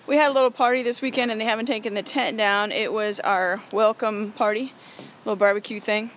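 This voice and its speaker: A woman's voice: medium pitch, steady tone, brisk, a bit bright. An American woman chatting enthusiastically to camera in a casual tour vlog. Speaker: vlogger, theology student. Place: walking around college grounds, indoors and outdoors. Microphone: phone at arm's length. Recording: faint noise, handheld phone.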